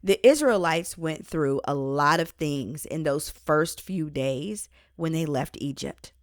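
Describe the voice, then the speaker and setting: Slow, deliberate woman reading inspirational teaching. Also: low-pitched, lively intonation, dry recording, studio quality. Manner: deliberate, emphatic phrasing with pauses. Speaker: author and host reading own book. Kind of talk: scripted inspirational audiobook-style reading with Bible teaching.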